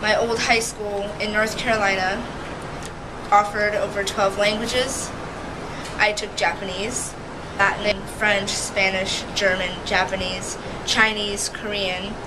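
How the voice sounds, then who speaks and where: A woman's voice: medium pitch, little pitch movement, slow pace, noisy background. A teenage girl, a native Russian speaker, answers in a plain mid-range voice, listing things off matter-of-factly. An air conditioner hums behind her. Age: teens. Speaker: student interviewee. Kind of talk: casual interview answer.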